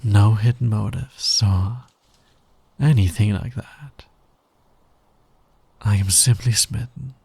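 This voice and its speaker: A man playing a smug, flirty fantasy villain in an intimate ASMR roleplay, breathy and teasing, often sinking toward a whisper. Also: lowish voice, darkish tone, no echo, full character voice. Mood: smitten, confessing. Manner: teasing, slow, deliberate, dominant. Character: flirty, smug male fantasy villain, patient, smitten with hero. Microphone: close microphone, dry sound.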